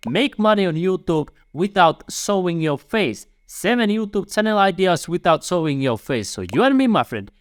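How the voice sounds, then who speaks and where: A man's voice: high-pitched, sing-song, normal speed, hardly any pauses, dry recording, studio quality. A man with a Finnish accent, a YouTube coach giving earnest step-by-step advice straight to the viewer, calling them "my friend". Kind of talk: advice video to camera.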